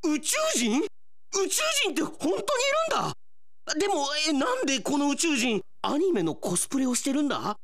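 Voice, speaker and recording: A man playing a brash, lazy young man in a comic radio drama, with loud, blunt, slangy retorts. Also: very high-pitched, big pitch swings, a little fast, voice pushed up, heavily acted. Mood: astonished.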